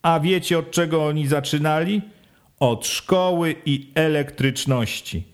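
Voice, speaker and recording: Forceful village official, loud and pressing, insistent questioning, as a male reader puts him on. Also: on the high side, unhurried, smooth, voice pushed up, close to an everyday voice. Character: forceful village official, a middle-aged man, pressing and sly.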